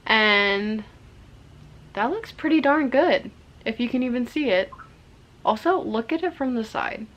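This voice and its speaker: A young woman giving a chatty, rambling makeup tutorial, her voice a little hoarse from a cold. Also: fairly high pitch, lively intonation, unhurried, a bit bright, highs cut off. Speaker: makeup vlogger.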